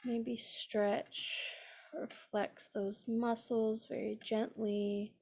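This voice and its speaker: A woman leading a guided meditation in a gentle, soothing manner, pausing often between phrases. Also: a little high, level tone. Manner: gentle, unhurried, with long pauses between phrases.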